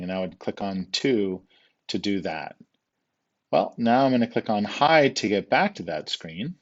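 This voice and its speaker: Male tutorial instructor, fairly low register, patient and informal step-by-step delivery, mildly lo-fi sound.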